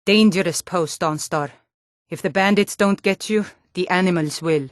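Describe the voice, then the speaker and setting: Woman acting a tough nord warrior lady for a fantasy game, nordic accent, lowish voice, firm and blunt, very dry recording. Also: normal speed, no room sound, lightly acted. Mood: stern. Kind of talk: fantasy video game dialogue.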